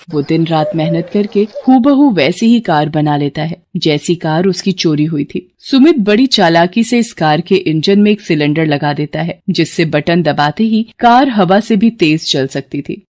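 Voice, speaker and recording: A woman's voice: on the low side, expressive, moderate pace, few pauses, a little mellow. A woman giving scripted narration of a moral story.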